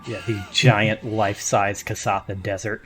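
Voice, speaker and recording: A man's voice: fairly low pitch, flat delivery, moderate pace, fairly bright tone. A man hosting a casual panel chat about a tabletop game he plays in. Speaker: podcast host and player in a tabletop game.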